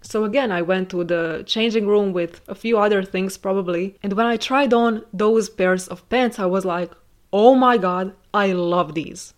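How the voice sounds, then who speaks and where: A woman's voice: mid-range voice, normal speed. A woman whose first language is not English, hosting a solo podcast and talking earnestly. She often acts out her own reactions. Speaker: podcast host.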